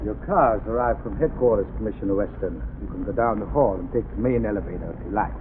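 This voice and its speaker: A man playing a nervous, suspicious laboratory chief in a 1930s radio drama, quick to accuse. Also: fairly low pitch, natural voice. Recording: tinny old radio broadcast with faint hiss.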